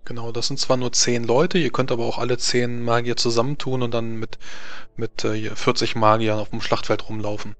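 A man's voice: mid-range voice, little pitch movement, normal speed, a bit bright, no room sound, faint music behind. A man speaking as a game master, explaining tabletop game rules in a conversational way.

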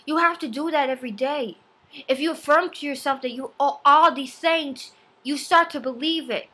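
A woman voicing an earnest fifteen-year-old nerdy boy giving a preachy, deliberate self-help video blog. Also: high-pitched, bright, forceful tone. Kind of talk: amateur self-help vlog monologue. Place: small home room. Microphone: laptop webcam at arm's length.

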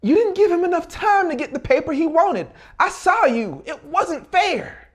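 A man's voice: very high-pitched, very animated, normal speed, a bit bright, voice pushed up, full character voice. A man voicing an indignant small-town wife who protests and complains insistently in an audiobook. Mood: indignant shouting.

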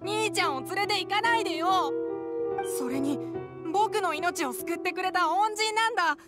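A woman's voice: extremely high pitch, moderate pace, over loud music. A woman voicing an earnest, loyal little village boy with a childlike, innocent way of speaking in an anime game. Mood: pleading. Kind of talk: Japanese anime game voice acting.

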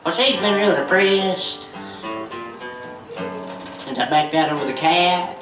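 A man's voice: high voice, takes it slowly. Folksy man bantering onstage. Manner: folksy deadpan joking with the crowd. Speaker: bluegrass band frontman. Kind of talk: stage banter between comic songs. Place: theater stage before a live audience. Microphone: stage vocal mic, recorded by a far camera. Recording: echoey hall recorded from far off. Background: loud guitar and band music.

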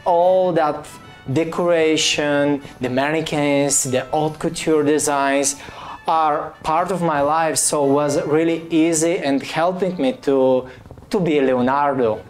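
Slow, halting Bulgarian-accented man. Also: on the high side, fairly even intonation, faint music behind. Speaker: actor and fashion designer. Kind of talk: press interview.

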